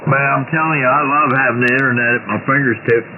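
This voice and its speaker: A man's voice: medium pitch, steady tone, fast talker. Joking guy on a staticky radio, kinda rambly, sounds like a phone line. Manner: joshing, rambling, laughs at his own jokes. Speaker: radio chatter regular. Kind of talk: joking two-way radio banter. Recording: noisy tinny two-way radio.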